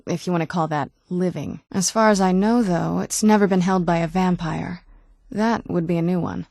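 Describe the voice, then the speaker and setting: A woman's voice: medium pitch, darkish tone, no echo, lightly acted. A woman voicing a young-looking vampire noblewoman companion in a video game, wry and guarded, with a dry conversational delivery. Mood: wry, thoughtful. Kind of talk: video game character dialogue.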